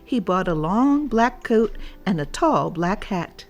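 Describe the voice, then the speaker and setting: A woman reading a storybook aloud for children, deliberately and addressed straight to young listeners. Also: mid-range voice, lively intonation, darkish tone, faint music behind.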